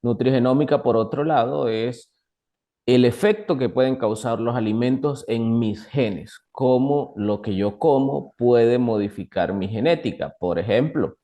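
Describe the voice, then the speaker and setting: A young man with a Colombian Caribbean accent, explaining things as an expert guest in an interview, in a halting way with repeated words. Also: medium pitch, warm tone. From northern Colombia. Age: young adult. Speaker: guest doctor, nutrition expert. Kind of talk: interview, explaining to a lay audience.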